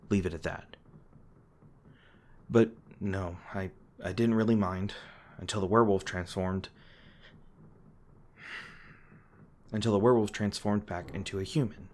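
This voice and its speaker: Male actor as haunted monster hunter: deep voice, guarded yet remorseful, halting intimate confession, audio drama with ambient noise underneath. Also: darkish tone. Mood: guarded, wistful. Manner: halting, hesitant, emotionally weighted confession.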